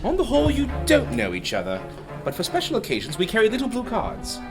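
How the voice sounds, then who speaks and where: A man's voice: extremely high pitch, wildly swooping pitch, fast talker, over music, full character voice. A man playing a zealous undercover police recruiter in a radio play, with an emphatic, melodramatic delivery. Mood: confiding.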